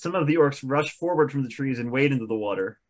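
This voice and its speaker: A man serves as narrator at a fantasy table read. He reads scene descriptions and stage directions in a medium-pitched voice. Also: lively intonation, quick pace.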